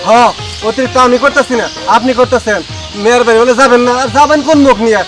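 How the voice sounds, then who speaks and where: A man's voice: very high-pitched, fast talker, over music. A man with a Bangladeshi accent playing a touchy, poor in-law in a comedy drama, indignant and quarrelsome. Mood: defiant. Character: touchy, combative poor parent-in-law, feuding with rival. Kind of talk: Bengali comedy drama acting.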